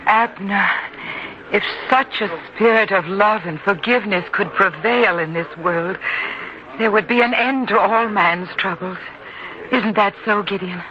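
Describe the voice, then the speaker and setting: A woman's voice: medium pitch, unhurried, lightly acted. A woman playing a devout, peacemaking middle-aged mother in an old-time radio drama, with an earnest theatrical delivery. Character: Sarah, devout gentle peacemaking mother of a farmer. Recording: dull lo-fi radio sound, faint noise.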